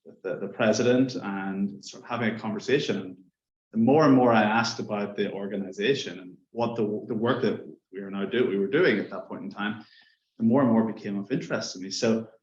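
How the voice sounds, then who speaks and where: Irish man panelist, low voice, relaxed storyteller, roomy muffled webinar sound. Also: fairly quick. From Ireland. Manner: relaxed storytelling with many fillers. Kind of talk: webinar career talk. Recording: echoey dull lo-fi webinar audio.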